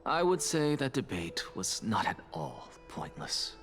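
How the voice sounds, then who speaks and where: An actor playing a proud, sardonic scholar in a video game, speaking in a measured, theatrical and dryly knowing way. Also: male voice, medium pitch, sing-song, a bit bright. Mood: sly, assured. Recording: background music behind the voice.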